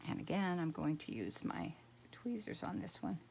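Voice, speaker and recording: A middle-aged woman with a low, gravelly, croaky voice walks through a card-making project in a loose, rambling manner. She thinks aloud, pausing to mull things over as she works. A faint hiss of noise sits behind her in a home craft room. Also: big pitch swings, darkish tone. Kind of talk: casual craft tutorial.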